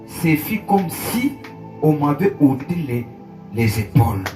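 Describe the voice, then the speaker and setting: A male pastor preaching in French with an interpreter, telling a story with emotion, moving between hushed and raised passages. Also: on the high side, big pitch swings, slow pace. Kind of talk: sermon with interpreter. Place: church hall. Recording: echoey church hall, far from mic, music behind.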